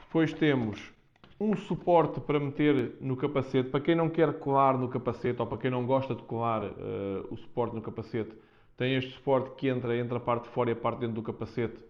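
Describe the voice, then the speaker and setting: A man with a European Portuguese accent presents in a casual vlog style. His voice sits at an average male pitch, and he speaks off the cuff, often repeating phrases as he goes. The recording is dull and lo-fi. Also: fairly even intonation, brisk. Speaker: vlogger presenting a product unboxing. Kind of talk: casual unscripted unboxing talk to viewers. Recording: dull, muffled lo-fi sound.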